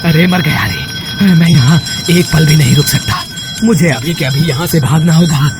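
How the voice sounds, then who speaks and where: A man's voice: a little high, normal speed, very noisy, natural voice. A man voicing a severed-head male ghost in a horror cartoon, menacing yet prone to exclamatory, pleading wails. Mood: panicked, pleading. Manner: wailing, exclamatory. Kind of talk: Hindi horror cartoon character voice acting.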